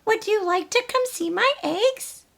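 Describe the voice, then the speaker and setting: A woman's voice: very high-pitched, unhurried, vocal fry, pitched above the performer's own voice, full character voice. A woman voicing an eager, easily saddened mother hen in a children's storybook. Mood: eager, friendly.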